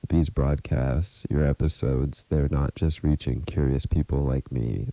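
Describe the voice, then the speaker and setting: A man playing a grave, haunted researcher in an audio drama, confiding a warning in a deliberate, ominous, earnest way. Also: a bass voice, dark tone, no echo, full character voice, low-quality recording. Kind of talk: scripted audio drama monologue, recorded message.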